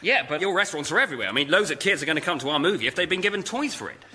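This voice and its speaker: A man playing a flustered, bluffing film-studio negotiator in a radio comedy sketch, animated and nervously hesitant. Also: fairly high pitch, racing. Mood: businesslike, puzzled.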